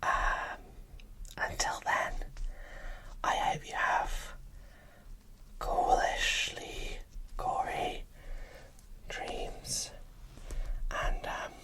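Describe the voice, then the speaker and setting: A host speaking in a breathy whisper into a close microphone, giving casual, enthusiastic ASMR unboxing commentary. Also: extremely slow, long pauses.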